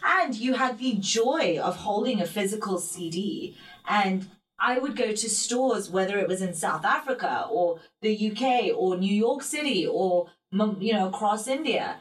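A woman guest talking thoughtfully in an interview, pausing with fillers and restarting her sentences. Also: medium pitch, animated. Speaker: guest singer. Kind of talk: reflective interview talk. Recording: roomy and distant from the mic.

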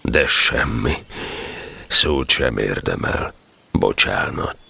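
A man playing a gloomy, sarcastic, self-pitying donkey in an audio drama, with a gravelly, slow, mournful drawl. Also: a bass voice, expressive, pushed and bright, dry recording, heavily acted. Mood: mournful, resigned.

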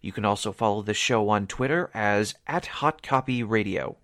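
A man's voice: fairly low pitch, moderate pace, a bit bright, no room sound, clean studio sound. A man reading a scripted outro as a radio drama announcer, formal and theatrical in his phrasing.

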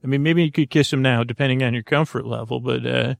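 Gravelly man rambling through a podcast intro. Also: mid-range voice, fast talker, no room sound. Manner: meandering, self-interrupting, playful deadpan humor. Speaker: podcast host.

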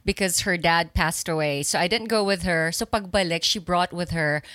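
A woman's voice: on the low side, moderate pace, a bit bright, dry recording. Casting: Filipina woman co-host, easygoing and conversational, close and dry. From Philippines. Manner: easygoing, mixes English and Filipino. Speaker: podcast co-host. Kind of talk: casual chat.

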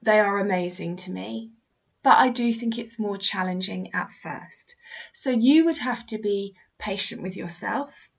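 A British woman talks through a listener's question on her podcast, chatty and a little self-deprecating. Her voice sits at an everyday middle pitch and her delivery is loose and conversational. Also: unhurried, creaky, slightly rough. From Britain. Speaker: podcast host, mediumship teacher. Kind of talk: casual podcast monologue answering a listener.

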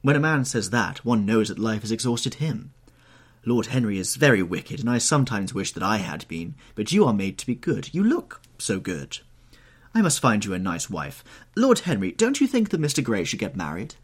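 Audiobook: male reader doing a fussy elderly hostess, raised and animated, a touch husky. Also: lowish voice, moderate pace, voice pushed up. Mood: gushing. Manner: gushing, bossy, matchmaking.

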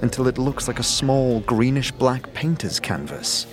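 Narrator telling a fantasy story, scripted, very varied pitch, soft music behind. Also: sing-song, a little slow.

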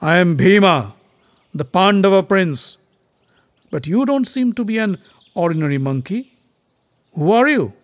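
A man voicing a mighty, hot-tempered warrior prince in a storybook myth, declaiming loudly and indignantly. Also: mid-range voice, steady tone, normal speed, hardly any pauses, dry recording, natural voice. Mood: proud, demanding.

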